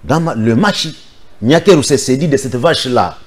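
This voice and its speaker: A man's voice: medium pitch, expressive, moderate pace. A man from the Democratic Republic of the Congo, a political guest giving firm, insistent answers in a studio interview.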